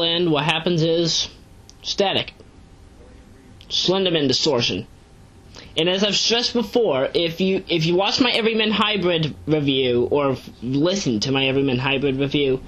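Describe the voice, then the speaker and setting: Low-voiced woman rambling on a lo-fi recording. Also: a bit bright. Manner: rambling, digressive, emphatic asides. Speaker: amateur web series reviewer. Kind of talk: casual unscripted review commentary. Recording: dull lo-fi home recording.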